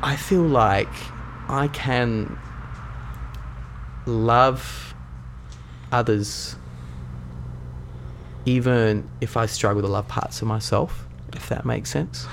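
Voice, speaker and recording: A man's voice: medium pitch, fairly even intonation, very slow, gravelly, pauses often, slight background noise. A young man with a cold, co-hosting a podcast in an earnest, rambling way, often restarting his sentences. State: has a cold, voice deeper than usual. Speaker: podcast co-host. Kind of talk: reflective casual chat.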